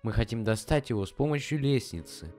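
A man voicing a polite young boy in an amateur cartoon who talks in a measured, reasoning way. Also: mid-range voice, lively intonation, darkish tone, faint music behind, close to an everyday voice.

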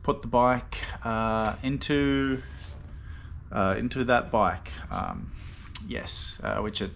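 A man casually narrating his motorbike rebuild in a relaxed, rambling way, with lots of fillers and dry self-deprecating asides. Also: mid-range voice, slow pace, vocal fry, a little hiss or hum. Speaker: motorbike restoration vlogger. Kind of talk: casual workshop voice-over narration.

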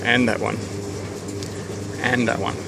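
A man, thinking aloud at an unhurried pace as he walks around his house and plans renovation work, pausing often with ums and restarts. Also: medium pitch, level tone, gravelly, very noisy. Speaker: homeowner giving a renovation tour. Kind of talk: casual thinking-aloud walkthrough. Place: outdoors around a house, under a deck and in a garden. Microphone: handheld camera held by the speaker.

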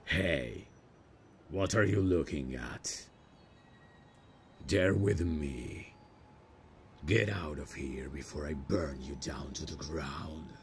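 A man acts out a proud male demon in an ASMR roleplay, with an exceptionally deep voice full of gravel. He speaks extremely slowly and haltingly, awkward yet menacing, in a grumpy deadpan. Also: slight background noise, exaggerated voice. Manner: hesitant, deadpan, menacing, slow.

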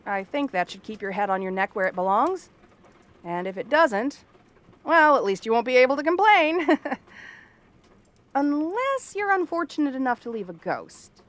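A woman's voice: sits high, big pitch swings, moderate pace. A woman playing a cranky, tomboyish young frog-girl princess in a fantasy roleplay, sarcastic and haughty. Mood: brisk, dry. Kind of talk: scripted fantasy ASMR roleplay.

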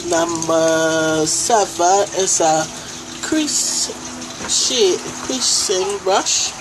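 A young woman from Trinidad with a Trinidadian accent, reviewing makeup brushes into a close phone camera in a small room, talking in an unhurried way with many fillers. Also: fairly low pitch, noisy background. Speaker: beauty vlogger. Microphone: phone camera held close.

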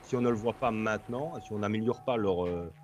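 Homme à l'accent belge, débit hésitant. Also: male voice, fairly low pitch, a crowd in the background. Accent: Belgian French. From Belgium. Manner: halting, many repeated words. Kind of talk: casual radio call-in chat.

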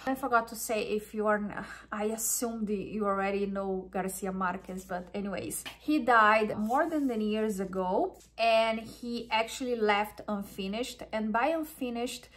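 A woman's voice: medium pitch, fairly bright tone. A woman from Brazil with a Brazilian Portuguese accent, a native Portuguese speaker chatting enthusiastically to camera and often restarting her phrases. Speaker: wellness vlogger. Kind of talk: casual chat to camera.

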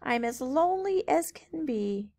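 A woman's voice: high-pitched, lively intonation, a little slow, a little mellow, voice pushed up. A woman voicing a plump, hungry female spider, coaxing and sly, in a children's storybook. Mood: pleading. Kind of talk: children's storybook read-aloud.

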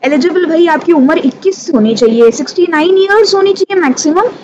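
A woman's voice: extremely high pitch, animated, a little fast, highs cut off. A woman with an Indian accent giving an informal revision lesson, speaking conversationally and addressing her students directly. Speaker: teacher of company law exam revision. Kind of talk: informal lesson mixing Hindi and English. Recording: clearly audible background noise.

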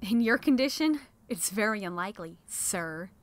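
A woman's voice: mid-range voice, animated, normal speed, natural voice. A woman playing a brash, sassy young desert medic in an audio drama, blunt and taunting. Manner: blunt, taunting, self-assured.

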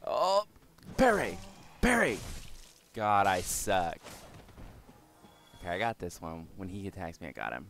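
A young man with a slightly raspy, hoarse voice giving casual let's-play commentary, swearing and mocking himself as he plays. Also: sits low, very animated, unhurried, long pauses, fairly bright tone, faint music behind. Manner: swears and exclaims at the game, self-mocking. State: hoarse from yelling. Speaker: gaming channel host.

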